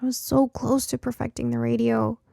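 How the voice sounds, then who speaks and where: A woman's voice: on the high side, level tone, moderate pace, gravelly, mellow, no room sound, clean studio sound. A woman reading scripted first-person story narration for an audiobook.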